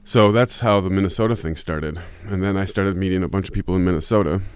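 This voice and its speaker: A man's voice: deep voice, fast talker, clear, resonant tone, dry recording. A man musician talking through long, rambling interview answers, with many restarts and fillers. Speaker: musician guest.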